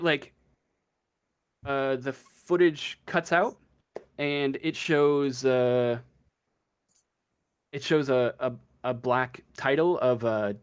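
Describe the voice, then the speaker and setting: A man narrating a tabletop role-playing game as game master, improvising scenes in a halting, hesitant way. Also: mid-range voice, smooth. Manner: halting, hesitant, feeling for words.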